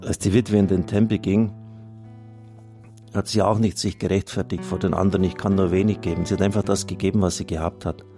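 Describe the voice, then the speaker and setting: A man's voice: low-pitched, level tone, brisk, warm tone, faint music behind. A man, a priest hosting on radio, speaking in an earnest, plain-spoken way as he reads out listener messages and appeals for support. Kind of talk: reading out listener testimonials and appealing for donations on air.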